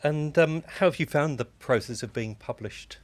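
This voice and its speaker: A man's voice: on the high side, moderate pace. A man asking questions and commenting as an interviewer in a conversational style.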